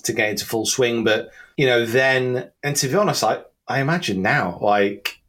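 A man's voice: medium pitch, normal speed, a bit bright. A man in his thirties with an English accent, chatting casually and self-deprecatingly as an interview guest. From England. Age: 30s. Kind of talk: casual conversational chat.

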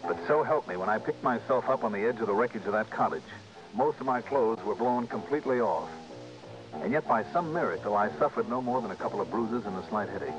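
Hard-boiled male radio sleuth played by an actor, low and terse, dry wit, dull vintage broadcast. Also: expressive, quick pace, lightly acted. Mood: wry, relieved. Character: tough, wry male insurance investigator. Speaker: lead actor and narrator. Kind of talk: 1960s radio detective drama narration. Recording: band-limited old radio recording, music behind.

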